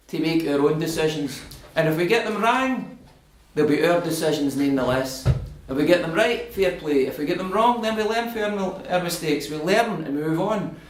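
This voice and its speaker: Scottish man, fifties, fired-up political speaker, slightly high voice, echoey hall. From Scotland. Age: 50s. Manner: passionate, rising to emphatic oratory. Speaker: guest speaker. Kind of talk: political talk to a local audience. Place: community hall. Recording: echoey room.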